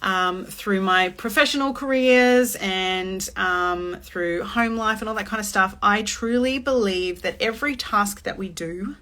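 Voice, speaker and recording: Bright-voiced woman in her thirties. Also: medium pitch, unhurried, hardly any pauses. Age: 30s. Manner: chatty, expressive, talks with her hands. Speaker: host. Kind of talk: motivational live-stream talk.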